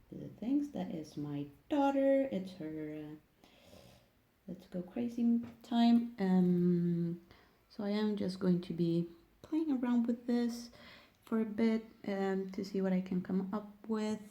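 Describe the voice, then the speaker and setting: A woman's voice: mid-range voice, mellow, muffled recording. A woman from Sweden with a Swedish accent, speaking English as a second language in a casual hands-on tutorial, hesitant and searching for words. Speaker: nail technician making tutorial videos.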